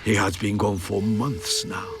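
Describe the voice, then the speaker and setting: A man's voice: lowish voice, wildly swooping pitch, a little slow, noisy background, heavily acted. An actor playing an elderly, wistful ninja master in a video game, reminiscing fondly about his pupils with sighs. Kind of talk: reflective character monologue in a video game. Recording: music behind the voice. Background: game music.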